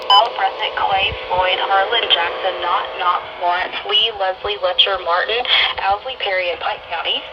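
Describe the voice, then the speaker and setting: An announcer reading a scripted public warning test in a formal, official manner over weather radio. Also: mid-range voice. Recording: noisy, muffled weather radio broadcast.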